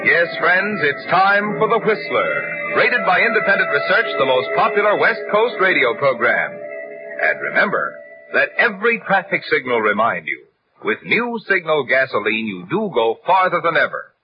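A man's voice: on the high side, big pitch swings, moderate pace. A man working as an announcer, reading a 1940s radio advertisement. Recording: dull vintage radio recording, faint music.

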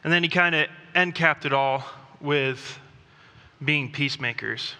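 A man who grew up in Thailand, preaching a sermon in a conversational, self-deprecating way with pauses and restarts. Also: on the high side, sharp tone, clean tone. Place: church sanctuary. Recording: long reverb of a church hall.

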